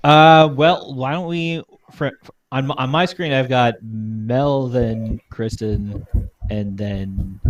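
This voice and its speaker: A man's voice: mid-range voice, steady tone, takes it slowly, dry recording. A young man in casual chat, jokey and informal, handing out parts to a group. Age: young adult. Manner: jokey, prone to laughing. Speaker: cast member assigning parts.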